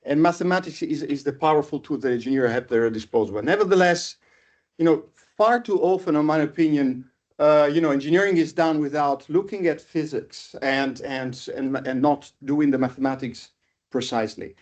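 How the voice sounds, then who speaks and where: A middle-aged man from Italy with an Italian accent gives an informal academic seminar talk as a professor, speaking haltingly and repeating words as he thinks. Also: on the high side, a little mellow. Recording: dull, lo-fi lecture audio.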